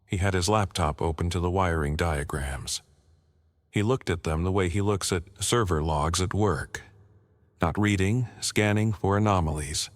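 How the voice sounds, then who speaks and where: A man reading a scripted first-person horror story as narrator, in a measured, matter-of-fact way with short clipped sentences. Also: deep voice.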